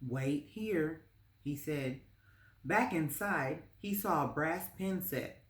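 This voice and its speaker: A woman reading a children's story aloud as narrator, in a measured, expressive storytelling manner. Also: deep voice. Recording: roomy, echoing space.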